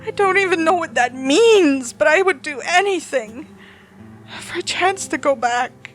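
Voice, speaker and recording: A woman's voice: very high-pitched, expressive, unhurried, a bit bright, soft background music, pitched above the performer's own voice, full character voice. A woman voicing a frightened, desperate newcomer to a hellish afterlife in a horror story, pleading and emotional. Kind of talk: horror story character acting.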